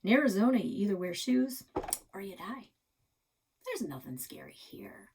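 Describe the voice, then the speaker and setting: A woman's voice: mid-range voice, big pitch swings, moderate pace, a little mellow, a little hiss or hum. A woman voicing a boastful, stubborn and sulky young boy in a children's storybook.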